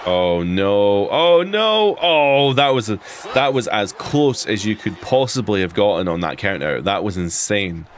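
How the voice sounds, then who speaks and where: Man, Edinburgh Scottish accent, low pitch, rambling casual gameplay chat. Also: expressive, measured pace, a little hiss or hum. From Scotland. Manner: chatty, rambling, frequent restarts and laughs. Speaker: streamer playing a wrestling game. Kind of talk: casual live commentary on gameplay.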